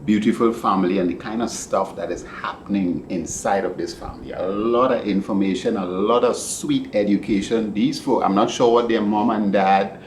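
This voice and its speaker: A man hosting a podcast, interviewing a guest in a casual, rambling and animated way. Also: fairly low pitch, normal speed, few pauses. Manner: animated, rambling, laughs easily. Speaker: podcast host. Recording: echoey room with background noise.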